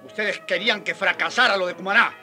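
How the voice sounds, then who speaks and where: A passionate, world-weary friar in middle age for a radio drama. His voice is very bright and forceful, set a little high, with an earnest, declamatory delivery. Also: quick pace, soft background music, heavily acted. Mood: indignant accusation. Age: middle-aged.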